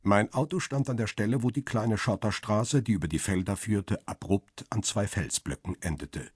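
Crime radio drama lead: guarded, cynical photographer, deep rough-edged voice, deliberate delivery. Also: male voice, darkish tone, no room sound, clean studio sound. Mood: cool, measured. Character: male paparazzo photographer, cool, cynical, guarded first-person narrator. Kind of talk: first-person crime radio drama narration and dialogue.

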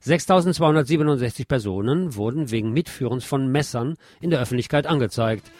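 A man's voice: medium pitch, lively intonation, fast, darkish tone, dry recording, clean studio sound. A man presenting radio news from a script, with colloquial commentary mixed in, over music. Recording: music behind the voice.

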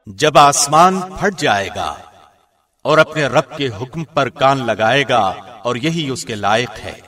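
A man's voice: medium pitch, expressive, sharp tone. Solemn man reading scripture, slightly distant. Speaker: translation reader, radio host.

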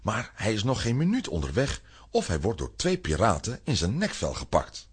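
A male narrator telling a children's adventure story as scripted drama. His voice is low and very husky, swinging widely in pitch for effect. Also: wildly swooping pitch, normal speed.

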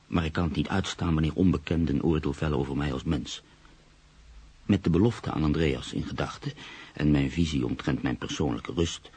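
A man playing a proud, brooding newspaper writer in a radio drama, with measured, literary delivery. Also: a bass voice, a little mellow, full character voice. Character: proud, brooding male newspaper writer, sceptical and easily unsettled. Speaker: actor. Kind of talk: radio drama acting, monologue and narration. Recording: radio play with clear background noise.

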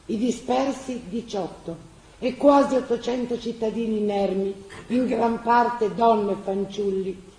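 An actress playing a grave chronicler, reciting wartime losses for radio theatre. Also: female voice, fairly high pitch, warm tone. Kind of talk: grave recited historical narration. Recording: roomy echo.

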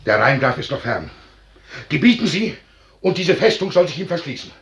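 An actor playing a loyal, anxious officer who pleads deferentially and earnestly in a classical radio drama. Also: male voice, a little high, sing-song, moderate pace, creaky, fairly bright tone, heavily acted. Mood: fervent. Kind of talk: classical German stage drama, radio play acting.

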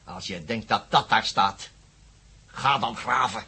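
Husky, cutting, defiant cult leader. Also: male voice, on the low side, slow, pushed and bright, exaggerated voice. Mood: defiant, scornful. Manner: scornful, stubborn. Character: defiant captive male cult leader, villain. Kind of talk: Dutch radio play acting.

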